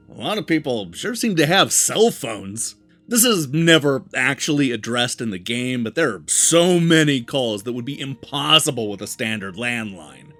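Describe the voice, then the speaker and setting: A man delivering a scripted video game review as the show's host, with emphatic, deliberate phrasing. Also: mid-range voice, fairly bright tone. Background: background music.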